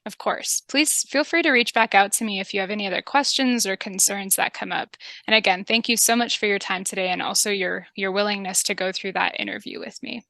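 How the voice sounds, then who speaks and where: A woman with a calm, polite and methodical manner, giving instructions in a scripted public health training video. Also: medium pitch, fairly quick, gravelly, few pauses, no room sound. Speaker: public health interviewer and narrator.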